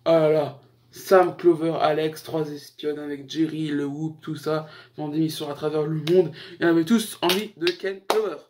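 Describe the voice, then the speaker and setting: A man's voice: on the high side, steady tone, moderate pace, a little mellow. A young man hosting a casual YouTube countdown, talking in a slangy, joking, rambling way. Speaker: YouTube host. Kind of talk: casual chat to camera, countdown list.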